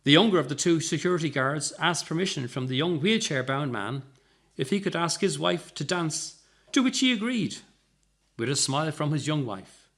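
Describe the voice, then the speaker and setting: Male story reader, expressive comic narration, highly varied pitch, slightly high voice. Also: moderate pace.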